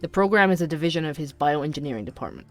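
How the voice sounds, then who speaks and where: An actor playing a young podcaster who narrates urban conspiracies in a measured, inquisitive way for an audio drama. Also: mid-range voice, animated, soft background music, close to an everyday voice. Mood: intrigued, serious.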